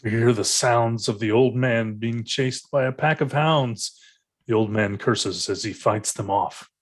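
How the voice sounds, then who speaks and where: A man narrates from a script. His voice sits at a middle pitch as he reads the stage directions aloud. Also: unhurried.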